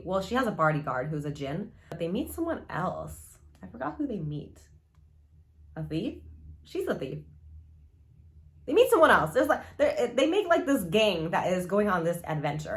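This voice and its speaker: A woman chatting casually as a book vlogger, gushing and rambling informally with frequent asides. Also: lowish voice.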